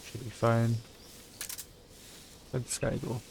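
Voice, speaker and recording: A man's voice: medium pitch, level tone, slow, vocal fry, a little mellow, a little hiss or hum. A man doing live video game commentary as a streamer, casually muttering his thoughts aloud as he plays.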